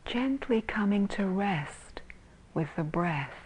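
Very slow, husky woman guiding meditation. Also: mid-range voice, low-quality recording. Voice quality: soft, breathy, husky. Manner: calm, gentle, spacious phrasing with long pauses. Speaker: meditation teacher. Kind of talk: guided meditation.

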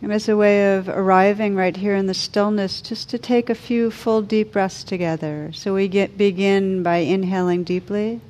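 A woman leading a guided meditation as a teacher, speaking slowly and soothingly with gentle pauses. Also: mid-range voice, fairly even intonation.

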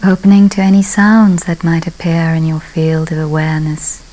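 A woman leading a guided meditation in a calm, unhurried way, leaving long pauses between soft, breathy-ending phrases. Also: deep voice, rough recording. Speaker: meditation teacher.

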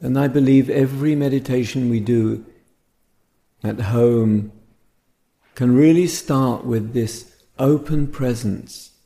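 A man guiding a meditation as a teacher, speaking gently and contemplatively in short phrases separated by pauses. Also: medium pitch. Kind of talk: guided meditation talk.

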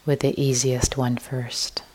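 A woman meditation teacher leading a guided meditation in a gentle, hushed way, leaving long pauses. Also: extremely low pitch, flat delivery, slightly rough.

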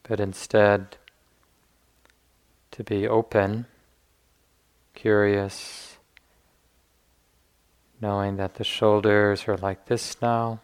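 A man leading a guided meditation as a teacher, speaking gently in unhurried phrases with long pauses. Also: on the low side, level tone, clean tone.

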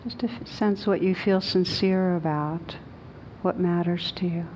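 Woman, meditation teacher, gentle and unhurried, soft even intonation, dark mellow tone, long pauses. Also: on the low side, slight background noise, poor audio. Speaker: meditation teacher leading a guided meditation. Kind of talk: guided meditation.

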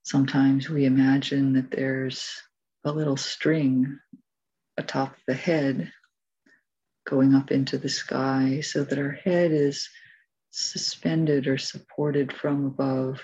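Slow, mellow, low-voiced woman guiding meditation. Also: little pitch movement, husky, lo-fi sound. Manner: gentle, measured instruction with long pauses. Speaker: meditation teacher. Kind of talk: guided meditation.

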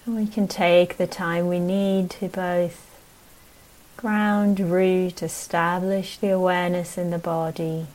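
A woman teaches meditation in a fairly low voice that barely moves in pitch. She speaks very slowly and gently, offering short phrases and leaving long pauses between them as she guides the practice. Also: flat delivery, poor audio.

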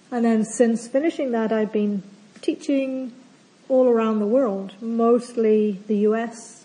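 A woman meditation teacher giving a calm, gentle opening talk at a retreat. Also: medium pitch, low-quality recording. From not from Australia. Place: retreat centre hall.

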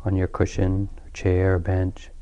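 A man leading a guided meditation as a teacher, speaking calmly and soothingly with long pauses. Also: sits low, smooth, lo-fi sound. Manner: calm, unhurried, soothing delivery with long pauses.

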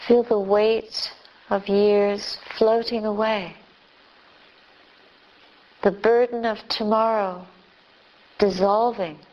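A woman leading a guided meditation as a teacher, speaking gently and unhurriedly in short phrases with long pauses. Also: medium pitch, steady tone, muffled recording. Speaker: Buddhist nun, meditation teacher.

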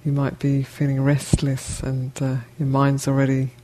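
A woman teaching a guided meditation, speaking gently and unhurriedly in short phrases with long pauses between them. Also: extremely low pitch, completely flat delivery.

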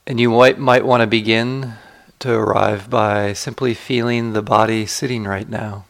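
Male meditation guide: lowish, creaky voice, unhurried and inviting, leaving space between instructions. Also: steady tone. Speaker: meditation teacher leading a guided meditation. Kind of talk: guided meditation, slow spoken instruction with long pauses.

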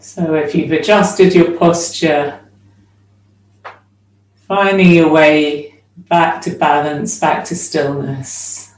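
A woman leads a guided meditation at a very unhurried pace. She speaks in short, measured phrases separated by long pauses, at a middle pitch. The sound is echoey and picked up at some distance from the microphone. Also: fairly even intonation. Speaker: meditation teacher. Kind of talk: guided meditation, slow spoken instruction. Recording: roomy echo, distant microphone.

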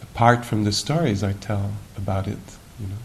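Male meditation teacher, lowish creaky voice, unhurried and musing, live recording with faint rumbling noise. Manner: unhurried, musing, gentle questioning with long pauses. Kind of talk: guided meditation at a retreat.

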